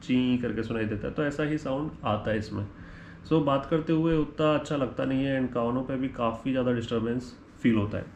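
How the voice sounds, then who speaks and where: An Indian-accented man talks through a product comparison in an unscripted, explanatory way. He mixes Hindi and English within sentences at an ordinary middle pitch. The recording is clearly echoey, with faint noise behind him. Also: fairly quick, a little mellow. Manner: switches between Hindi and English mid-sentence; ends points with a checking "right?". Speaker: motorcycle gear reviewer.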